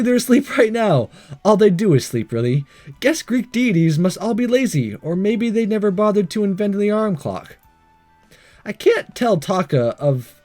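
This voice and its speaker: Male let's player, raised pitch, very animated, theatrical game reading, joking commentary. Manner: playful, theatrical. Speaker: let's play host. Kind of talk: reading game dialogue aloud with joking asides. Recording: game music behind.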